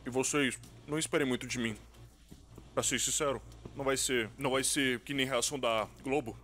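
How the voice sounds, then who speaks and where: A man with a Brazilian accent chatting casually and a little hesitantly, in a low-key, rambling vlog style. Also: steady tone, a little fast, a bit bright, slight background noise. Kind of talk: casual, rambling chat, dubbed over vlog footage.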